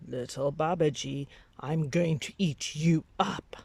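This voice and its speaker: A woman voicing a menacing, boastful tiger for a children's storybook, with slow, partly whispered threats. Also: deep voice, darkish tone, slightly rough, no echo, exaggerated voice.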